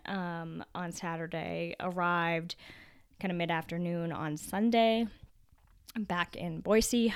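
A young woman talks through a relaxed solo podcast episode, her voice hoarse and raspy from a cold and sitting lower than usual. She rambles in a friendly, chatty way, filling pauses with ums. The sound is close and dry, with no room around it. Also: no echo. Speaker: podcast host.

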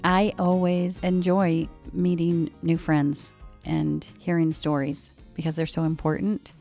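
Low, gravelly woman co-hosting a podcast. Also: little pitch movement, unhurried, dark tone, soft background music. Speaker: podcast co-host. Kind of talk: podcast conversation.

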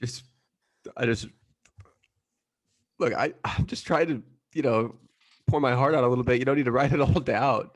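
A man playing a distraught, exasperated bridegroom in an improvised comedy sketch, halting and anxious. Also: medium pitch, close to an everyday voice. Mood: mournful.

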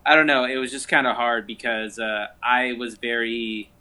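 A man's voice: medium pitch, steady tone, moderate pace, bright, forceful tone. A man from Southern California with an American accent, talking bluntly in a casual conversation. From Southern California, United States.

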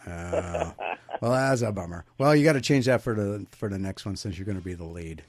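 A man hosting a talk radio show, asking his guest questions in a casual, halting way with lots of fillers. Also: low-pitched, no room sound.

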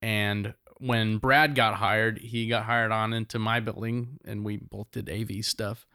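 A man's voice: on the low side, flat delivery, a little slow, sharp tone, clean tone, no echo, studio quality. A man chatting casually as a guest, rambling through his story with lots of restarts. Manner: rambling, many fillers and restarts. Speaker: band member, guest. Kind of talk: casual podcast chat.

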